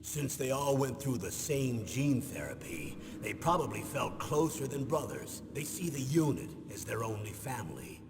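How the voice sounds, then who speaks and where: An actor playing a stern middle-aged military commander in a video game, speaking in a measured, grave way and leaning on names. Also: male voice, medium pitch, big pitch swings. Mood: matter-of-fact. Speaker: former special forces commander. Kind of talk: dramatic video game voice acting. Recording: echoing reverberant hall, noisy game audio.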